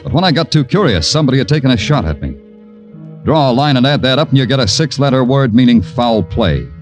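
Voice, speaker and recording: An American actor playing a weary, wry police detective who narrates his case in deadpan 1940s radio style. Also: medium pitch, fast, lightly acted. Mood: wry narration. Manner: deadpan, sardonic. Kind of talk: 1940s radio crime drama acting, hardboiled narration. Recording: old 1940s radio broadcast, music behind.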